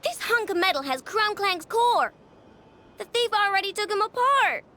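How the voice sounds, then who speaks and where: A woman's voice: very high-pitched, lively intonation, normal speed, sharp tone, exaggerated voice. A woman voicing a feisty, loyal little girl inventor in a video game, cute, bold and determined. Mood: upset. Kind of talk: animated video game voice acting.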